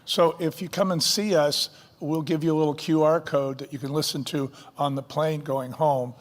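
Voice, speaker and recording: A man's voice: fairly high pitch, steady tone, normal speed, big-room sound. A man who co-founded a company, speaking as a guest in a fireside chat and telling stories enthusiastically, with fillers.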